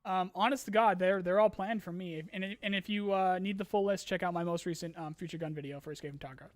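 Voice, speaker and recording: Hoarse high-voiced man streaming casually. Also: fairly even intonation, fast, dry recording. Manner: rambling, many ums and restarts, apologetic. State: losing his voice, clearing throat. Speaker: streamer host answering chat questions.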